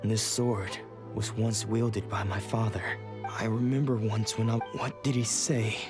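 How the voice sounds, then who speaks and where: Anime game swordsman, man acting: lowish voice, earnest, brooding, formal wording, music clearly behind. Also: level tone, natural voice. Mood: wistful, confused. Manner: halting, brooding, formal phrasing. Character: troubled young male swordsman, earnest, brooding, lost memories.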